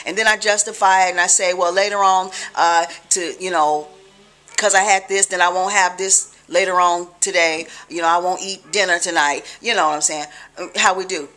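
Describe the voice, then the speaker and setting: A middle-aged American woman giving an informal religious talk to camera, conversational and deliberate, with emphatic check-ins to the listener. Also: fairly low pitch, a bit bright. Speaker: religious video blogger. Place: small living room, indoors on a couch.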